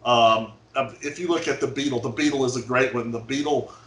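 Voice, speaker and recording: A man on an online panel, talking in animated bursts and stumbling as he starts his sentences. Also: medium pitch, brisk. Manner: animated, stumbling over openings. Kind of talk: casual panel discussion. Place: home office. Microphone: studio mic on video call. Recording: roomy video call audio.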